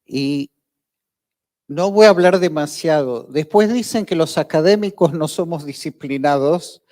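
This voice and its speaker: High-voiced older Argentine man lecturing. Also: measured pace. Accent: Argentine Spanish. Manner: relaxed, conversational, with hesitant fillers. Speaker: professor giving a closing lecture. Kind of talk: academic lecture.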